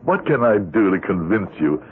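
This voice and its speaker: A man's voice: fairly low pitch, big pitch swings, moderate pace. An actor playing a mild, patient suburban husband in his forties, placid and reassuring, in a radio drama. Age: 40s. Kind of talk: 1970s radio drama acting. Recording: tinny vintage radio broadcast.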